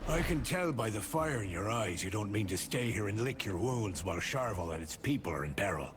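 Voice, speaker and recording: A man voicing a steady, protective fighter loyal to his people in a video game. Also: lowish voice, fairly quick, close to an everyday voice. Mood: grave, knowing. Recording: clear game noise behind voice. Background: game ambience.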